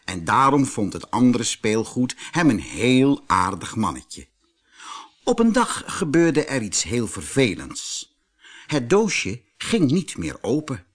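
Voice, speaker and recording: A male storyteller reads a children's tale expressively and without hurry. His voice is a little husky and moves widely up and down, with faint music in the recording. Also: mid-range voice. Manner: expressive, deliberate. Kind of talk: scripted children's storybook narration.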